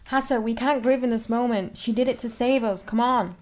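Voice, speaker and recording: A woman's voice: fairly high pitch, brisk, close to an everyday voice. A woman playing an earnest, determined young female adventurer who speaks with urgency in a radio drama. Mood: urgent.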